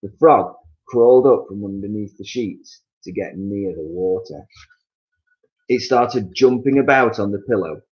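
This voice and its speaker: A man reading a children's story aloud as an expressive narrator, on a slightly muffled recording. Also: on the low side, measured pace.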